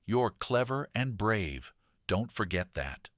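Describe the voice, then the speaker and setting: A man's voice: fairly low pitch, big pitch swings, takes it slowly, no room sound, clean studio sound. A man voicing a loyal young girl companion in a children's story, speaking encouragingly.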